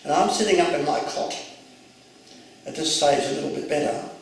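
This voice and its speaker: Gravelly elderly clergyman recalling his past. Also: fairly high pitch, a little hiss or hum. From Jerusalem, Mandatory Palestine. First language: Arabic. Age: 80s. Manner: measured, reflective storytelling. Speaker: retired Anglican clergyman. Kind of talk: oral history recollection. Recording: echoey room, distant mic.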